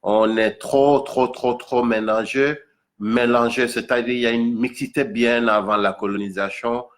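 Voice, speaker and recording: A man from Accra with a Ghanaian accent and Ga as his first language, explaining things informally to an audience, haltingly and repeating words for emphasis. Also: medium pitch, steady tone. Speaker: musician presenting own single.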